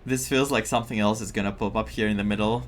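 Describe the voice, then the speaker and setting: Rambling, excitable male game streamer. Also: lowish voice, quick pace, a little hiss or hum. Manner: rambling, excitable, stumbles over names. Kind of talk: casual playthrough commentary.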